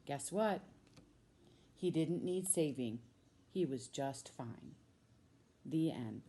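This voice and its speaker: A woman with an American accent, a teacher reading a storybook aloud to children with expressive, drawn-out emphasis on key words. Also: low-pitched, a little hiss or hum. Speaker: middle school science teacher reading a children's book.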